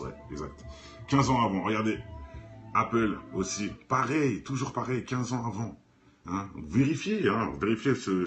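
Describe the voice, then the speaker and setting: Low-voiced man in casual, insistent commentary over music. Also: a bit bright. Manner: insistent, repeats phrases for emphasis, addresses viewers directly; informal, slangy. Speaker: online video commentator. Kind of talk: casual improvised commentary on cartoons and conspiracy theories.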